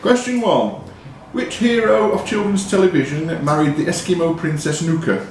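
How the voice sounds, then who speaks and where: Lively quiz master, echoey pub. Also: male voice, high-pitched, normal speed, background noise. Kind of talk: reading quiz questions aloud and ruling on answers. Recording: very echoey room with pub crowd.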